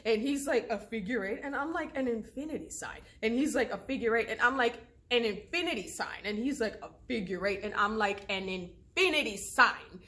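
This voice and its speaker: An actress plays a frantic, jilted woman in her thirties with a fairly high voice. She is wry and desperate, firing through a repetitive back-and-forth in a comic-dramatic stage monologue. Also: lively intonation, normal speed, hardly any pauses, bright, forceful tone. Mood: insistent, exasperated.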